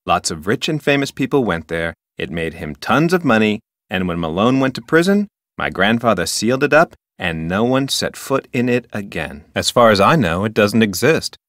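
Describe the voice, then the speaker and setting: An officious park ranger for an adventure game. His voice sits fairly low and is recorded dry and close. He talks in formal, wordy sentences, like a stickler for the rules who relishes local history. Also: sing-song, normal speed, a bit bright, no room sound. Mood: informative, matter-of-fact. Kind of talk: scripted adventure game dialogue.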